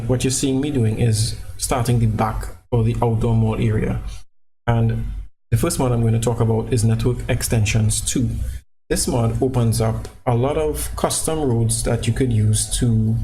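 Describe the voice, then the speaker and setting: A man's voice: mid-range voice, warm tone, a little hiss or hum, poor audio. A man with a Trinidadian accent giving casual, rambling commentary over gameplay, full of fillers and restarts, recorded on a cheap microphone at home. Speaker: gaming channel creator. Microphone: cheap low-quality microphone.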